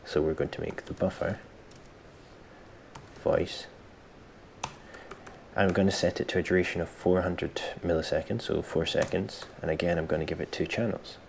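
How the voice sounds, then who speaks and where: A man presenting a software tutorial step by step, informally thinking aloud as he explains. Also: sits low, steady tone, normal speed, creaky, long pauses, slight background noise. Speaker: tutorial host.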